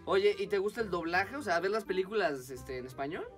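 A man's voice: high voice, animated, brisk, faint music behind. A young man with a Mexican accent giving casual instructions as a dubbing coach, often checking in with short questions. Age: young adult. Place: small recording studio.

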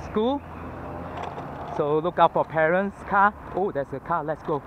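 Singaporean-accented man vlogging outdoors, a little higher voice, slow and easygoing, dull lo-fi action camera sound with street traffic clearly heard. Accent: Singaporean English. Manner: chatty, self-narrating, thinking aloud with fillers. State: panting from exertion. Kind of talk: casual chat while riding. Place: outdoors on a city roadside pavement. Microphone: head-mounted action camera mic. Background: passing traffic.

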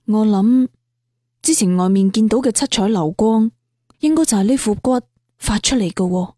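Woman voicing soft, husky young cultivator hero. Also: medium pitch, moderate pace, dry recording, clean studio sound, natural voice. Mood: thoughtful. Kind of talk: audiobook character dialogue. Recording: music behind the voice.

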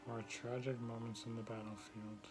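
A young man gives short, offhand reaction comments in a casual way. His pitch sits in the middle. He is recorded indoors at home on a device at arm's length. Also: flat delivery, moderate pace, music in the background. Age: young adult. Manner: terse, casual. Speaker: reactor watching an animated show. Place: small room indoors at home. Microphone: phone or laptop at arm's length.

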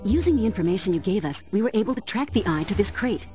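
A woman's voice: mid-range voice, very animated, fast, darkish tone, faint music behind, close to an everyday voice. A woman playing a businesslike but caring spy agency handler, giving mission briefings in a video game. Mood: brisk, concerned. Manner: efficient, businesslike.

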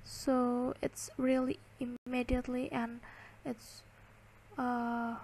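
A woman giving a slide lecture, reading and explaining in halting, word-by-word phrases. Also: on the high side, little pitch movement, darkish tone, slightly rough.

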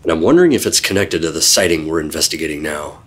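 An actor playing a secretive, world-weary 1950s government agent, terse and deadpan, narrating an old-time radio drama. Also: male voice, very deep voice, fast talker, exaggerated voice. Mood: guarded, serious. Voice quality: husky. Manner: terse, deadpan, hard-boiled.

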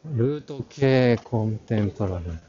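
A man's voice: medium pitch, lively intonation, unhurried, dark tone. A young man giving casual, offhand vlog commentary as he walks around a large indoor hall, recorded at arm's length. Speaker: vlogger. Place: large busy art fair hall, indoors. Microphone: wide-angle camera held at arm's length. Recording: dull lo-fi sound, busy crowd. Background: crowd chatter.

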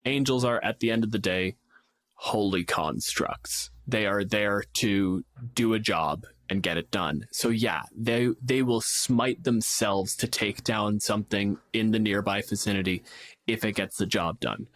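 A man's voice: on the low side, unhurried, a bit bright. A man co-hosting a casual tabletop gaming discussion, dramatizing his examples with acted asides. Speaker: podcast co-host and gamemaster.

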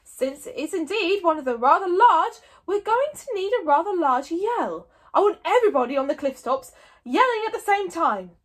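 A woman voicing a blustering, bossy male Viking chief in a loud, declamatory way for a storybook reading. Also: extremely high pitch, sing-song, normal speed, pitched above the performer's own voice. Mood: commanding.

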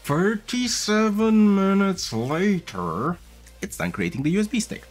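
A man in his thirties casually walking viewers through a device setup step by step, chatty and patient, recorded at a desk with a boom mic. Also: lively intonation, a little slow, soft background music. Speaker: tech video host. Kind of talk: casual tutorial walkthrough. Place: small room at a desk. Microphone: studio mic on a boom arm, wearing headphones.

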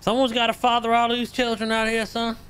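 A man's voice: very high voice, completely flat delivery, a little fast, a bit bright, smooth. A man in his thirties laughing and ribbing a friend over voice chat, tossing in "dude" and "man". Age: 30s. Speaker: gamer on voice chat. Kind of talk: casual banter over voice chat.

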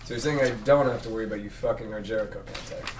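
A man's voice: fairly low pitch, rapid-fire, natural voice. A man reading the part of a jaded, sarcastic police detective bluntly at a drama table read. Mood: guarded, bitter. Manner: blunt, sardonic. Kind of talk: script read-through of a sci-fi drama. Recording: roomy, distant, noisy group room.

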